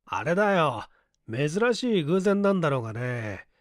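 A man's voice: a little high, big pitch swings, normal speed, no room sound, clean studio sound, voice pushed up. A man voicing an easygoing, whimsical male detective with a nonchalant, wry manner in an audiobook story. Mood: playfully evasive. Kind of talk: Japanese audiobook character acting.

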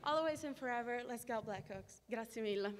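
A young woman with an Italian accent reads an earnest graduation speech into a podium microphone. Her voice sits a little high and rings through a long gym reverb. Her English is careful and heartfelt. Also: brisk, very noisy. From Italy. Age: young adult. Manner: earnest, reading from notes at a podium. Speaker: exchange student giving a graduation speech. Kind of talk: prepared ceremony speech. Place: large school gym.

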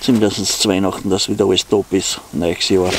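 A man's voice: low voice, level tone, a little fast, slight background noise, rough recording. A man with an Austrian accent talks casually and unscripted to his viewers in an easygoing, rambling way, as an outdoor vlogger. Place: outdoors in snowy woods.

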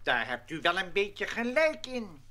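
Stuffy mayor for a children's audio story: slow, formal, with swooping intonation, music behind. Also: mid-range voice, wildly swooping pitch, bright, forceful tone, exaggerated voice. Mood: indignant, doubtful. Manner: formal, grumbling, deliberate. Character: stiff, stuffy, joyless village mayor, slowly softening. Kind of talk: children's audio play acting.

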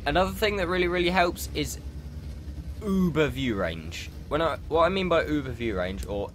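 A man's voice: fairly high pitch, lively intonation, normal speed, slight background noise. A young man with a stuffy nose from a cold, casually commenting over a game replay and explaining tactics with lots of ums. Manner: rambling, explanatory, many ums. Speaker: gaming channel host commenting a replay.

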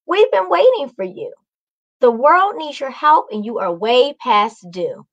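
A woman's voice: high-pitched, big pitch swings, a little slow, lo-fi sound, exaggerated voice. A woman voicing a friendly talking bird guide in a children's story, encouraging and explanatory. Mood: urgent.

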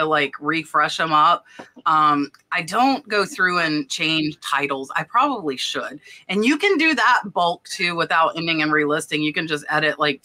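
A woman's voice: fairly low pitch, sharp tone. A woman hosting a casual chat, candid and a little self-deprecating. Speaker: podcast host.

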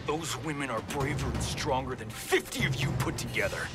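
An actor playing a brash, joking movie-star fighter in a game cutscene. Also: male voice, medium pitch, a little fast, close to an everyday voice. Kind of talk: video game cutscene acting. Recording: game cutscene with loud music.

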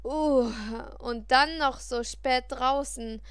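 A woman's voice: sits high, takes it slowly, clear, resonant tone, no room sound, studio quality. A woman voicing a friendly, fatherly male taxi driver in an audiobook, chatty and kind. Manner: chatty, kindly. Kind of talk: audiobook character voice.

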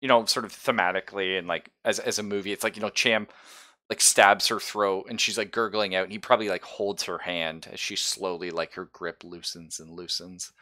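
A man's voice: sits low, steady tone, fairly quick, bright, forceful tone. A man casually narrating a tabletop roleplaying game as game master, in a chatty, conversational way.

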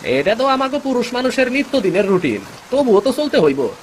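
A man's voice: very high-pitched, very animated, a little fast. A man playing a village fisherman companion in a cartoon, on a hissy track. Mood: resigned. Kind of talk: dramatic cartoon story dub.

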